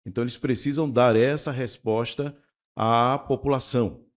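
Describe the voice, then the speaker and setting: Radio co-host framing questions. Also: male voice, mid-range voice, fairly even intonation, normal speed. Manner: framing questions, recapping news.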